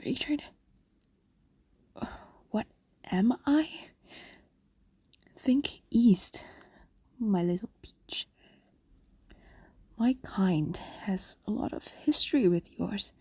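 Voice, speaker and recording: Casting: actress for a doting, possessive fox spirit in fantasy ASMR drama; soft mellow tone, breathy coaxing whisper, soothing and ageless. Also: female voice, mid-range voice, animated, slow pace, pauses often, dry recording, heavily acted. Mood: teasing, coaxing. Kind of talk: intimate fantasy audio roleplay, ASMR.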